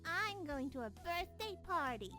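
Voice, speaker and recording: A woman's voice: very high-pitched, big pitch swings, unhurried, pushed and bright, faint music behind, voice pushed up, heavily acted. A woman voicing a sweet, innocent but cheeky little girl in a cartoon parody, with a cutesy childlike delivery.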